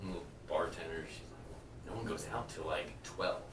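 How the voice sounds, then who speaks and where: A man's voice: sits low, moderate pace, fairly bright tone, reverberant, far from the mic, a little hiss or hum. A man, hosting a podcast in loose, joking casual chat and telling stories with casual swearing. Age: 40s. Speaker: podcast host.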